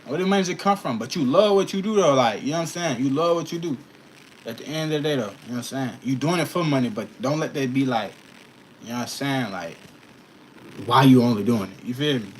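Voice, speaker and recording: A man's voice: medium pitch, fast talker, low-quality recording. Young man chatting casually over background noise. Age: early 20s. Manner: slangy, emphatic, repeats check-in phrases. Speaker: custom shoe artist answering viewer questions. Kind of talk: casual livestream Q&A chat.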